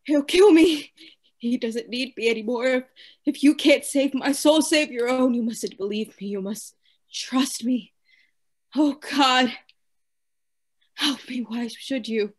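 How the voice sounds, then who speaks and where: A woman's voice: high voice, slow, pauses often. A woman playing a tormented, obsessive asylum lunatic in a radio play, with formal, theatrical diction. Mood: terrified. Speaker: actor.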